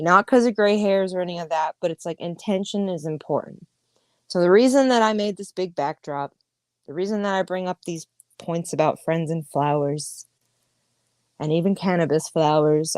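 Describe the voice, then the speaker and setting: A woman's voice: lowish voice, darkish tone, dry recording, clean studio sound. Candid, swearing woman in her thirties. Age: 30s. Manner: candid, profane, emphatic. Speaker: podcast host. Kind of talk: casual livestream monologue.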